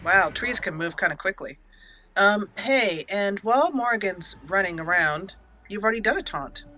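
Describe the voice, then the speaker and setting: A woman's voice: medium pitch, animated, normal speed, bright, forceful tone. A woman hosting a casual let's play, chatting playfully with mock indignation and mild joke swearing. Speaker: gaming channel host.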